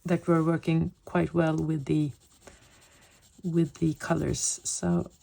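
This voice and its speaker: A woman's voice: low-pitched, fairly even intonation, takes it slowly, gravelly, warm tone. A woman in her late fifties from Sweden, speaking English as her second language, chatting casually and searching for words while she colors. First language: Swedish. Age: 50s. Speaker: coloring vlogger.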